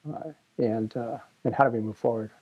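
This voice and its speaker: A man's voice: mid-range voice, steady tone, a little fast, highs cut off. A man speaking reflectively in an interview.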